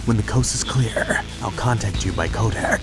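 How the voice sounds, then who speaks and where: A man voicing a gruff, stoic, battle-hardened soldier in a video game, terse and blunt, with a gravelly growl. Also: on the low side, normal speed, natural voice. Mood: curt, commanding. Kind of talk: dramatic video game voice acting. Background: background music.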